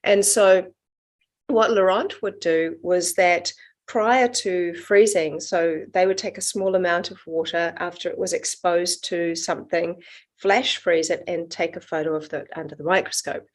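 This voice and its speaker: A middle-aged woman with a New Zealand accent, a guest on an interview podcast, explaining her work in an earnest, measured, reflective way. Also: fairly low pitch, steady tone. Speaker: guest, water researcher.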